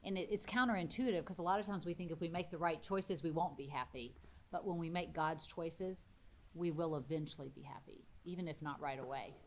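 A woman's voice: deep voice, fairly quick, slight background noise. A woman in her forties giving conversational religious teaching as a co-host. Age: 40s.

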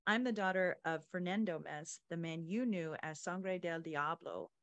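A woman hosting a podcast, reading story passages and asking questions with expressive, informal asides. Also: fairly low pitch, normal speed, dry recording. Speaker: podcast host. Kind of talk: reading book excerpts and interviewing the author.

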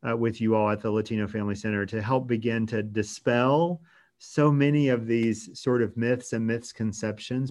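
Measured male interview host. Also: mid-range voice, a little mellow. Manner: measured, with some restarts and filler "um"s. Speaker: host from a hospice organization. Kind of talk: conversational interview.

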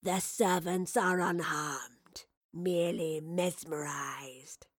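A woman voicing a menacing ancient wraith in a stolen body, with a hissing, whispery, drawn-out delivery for an audio drama. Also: on the low side, steady tone, slightly rough, dry recording, studio quality. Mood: sinister certainty. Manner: very slow, drawn-out, ominous.

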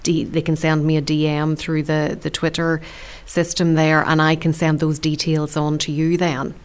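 A woman's voice: deep voice, completely flat delivery, moderate pace, clean tone. A woman with a Ballymena accent from Northern Ireland, hosting a radio interview in a direct, friendly way. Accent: Ballymena, Northern Irish. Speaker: radio host.

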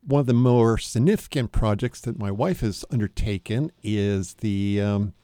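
An older man answering as a radio interview guest, unscripted, in a measured, matter-of-fact way. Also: fairly low pitch, dark tone, no room sound.

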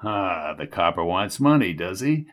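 Man doing old male reporter, gravelly, low-pitched, hard-boiled slang, needling. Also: very animated, a little slow, a bit bright, full character voice. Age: older. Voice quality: raspy, gravelly. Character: gruff old male newspaper reporter, chain-smoking, needling.

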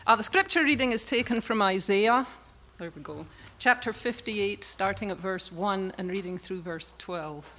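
A woman reading scripture aloud in church, slow and deliberate, with emphatic phrasing. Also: mid-range voice, fairly bright tone, low-quality recording. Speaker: scripture reader. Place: church sanctuary.

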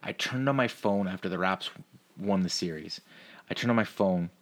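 Low-voiced man telling casual, profane stories. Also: moderate pace. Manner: profane, animated storyteller who acts out dialogue. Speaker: sports podcast co-host. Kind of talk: casual chat, storytelling.

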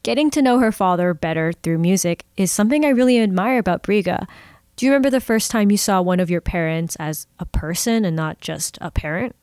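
A woman's voice: lowish voice, brisk, a little mellow, clean tone, dry recording, clean studio sound. A woman hosting a podcast, telling a story in a conversational narrating style. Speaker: podcast host. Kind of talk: narration and co-host chat.

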